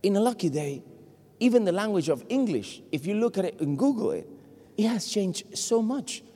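A man from Puerto Rico, Spanish his first language, with a Puerto Rican accent, preaching an animated, joking sermon to a congregation. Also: sits high, normal speed. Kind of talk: lively sermon. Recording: long hall reverb.

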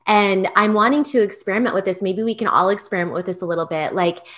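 A woman podcast host with a high-pitched voice, introducing an episode and interviewing a guest. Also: fast, fairly bright tone. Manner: friendly, encouraging, says mm-hmm often.

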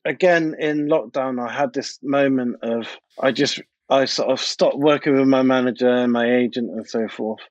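A man's voice: mid-range voice, steady tone, normal speed, few pauses, no room sound, lo-fi sound. A British man talking casually and anecdotally as a DJ and producer being interviewed, in informal, colloquial speech. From United Kingdom. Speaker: interview guest, DJ and music producer.

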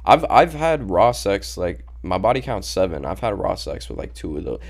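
A man's voice: low voice, very animated, normal speed. A young man in casual chat, laid-back and slangy, swearing freely, talking into a handheld mic. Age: young adult.

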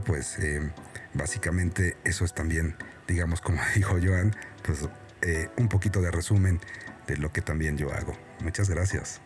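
A man with a very low voice speaks in a loose, filler-heavy manner. He talks casually as a guest over a faint bed of music. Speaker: guest, podcaster. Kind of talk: casual conversation.

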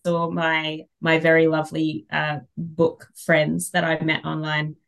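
A woman's voice: sits low, a little slow, gravelly. An Australian woman chatting casually as a podcast guest, telling wry, exaggerated anecdotes and acting out the dialogue. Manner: animated anecdote teller who acts out dialogue, wry exaggeration. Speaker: podcast guest, fantasy author. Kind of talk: casual chat, humorous storytelling.

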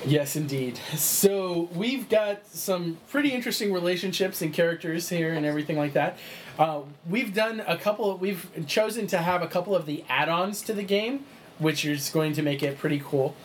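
A man's voice: sits high, moderate pace, slight background noise. A man running a tabletop game session, explaining the rules in a chatty way with lots of fillers and restarts. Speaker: game master.

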